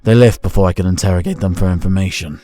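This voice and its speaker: An actor playing a grave, formal investigator in a fantasy audio drama. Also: male voice, low-pitched, quick pace, dark tone, no echo, faint music behind, close to an everyday voice.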